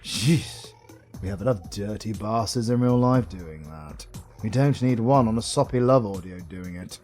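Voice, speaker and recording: A man's voice: lowish voice, lively intonation, a little mellow, soft background music, lightly acted. A man with a British accent playing a suave, sardonic, flirtatious supervillain in a theatrical romantic comedy audio roleplay. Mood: smug, scheming. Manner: slow, teasing, theatrical.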